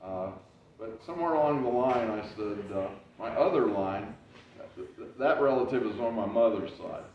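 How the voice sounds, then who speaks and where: A man with a fairly low, loud voice talks loosely to an audience, circling back with plenty of hesitations. He is heard from well away from the microphone, in a strongly echoing room. The sound is dull and muffled, with a faint hum underneath. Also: lively intonation, moderate pace. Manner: loose, rambling telling with many "uh"s and restarts. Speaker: historical reenactor giving a library talk. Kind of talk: casual talk to an audience. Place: library meeting room. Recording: very echoey, distant, dull room recording.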